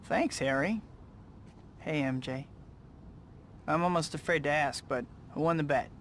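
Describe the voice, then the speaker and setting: An actor playing an earnest, wry young superhero student with an American accent in a video game. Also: male voice, fairly high pitch, unhurried, slight background noise. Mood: hesitant, friendly. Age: young adult. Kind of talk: cinematic voice-over narration and dialogue. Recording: loud music underneath.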